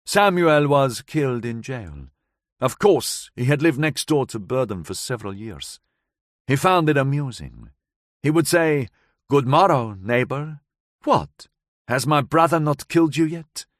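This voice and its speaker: A man's voice: medium pitch, expressive, unhurried, no room sound, studio quality, pitched above the performer's own voice, lightly acted. A man voicing a hardened vengeful woman. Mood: weary. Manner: foreign-tinged broken English, bitter and intense. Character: hardened vengeful woman recounting her past.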